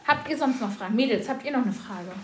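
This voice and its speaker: A woman in her thirties with a slightly hoarse voice, chatting casually and telling lively stories to schoolchildren. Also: a little high, fast talker, roomy sound, noisy background. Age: 30s. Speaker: school first-aid staff answering pupils' questions.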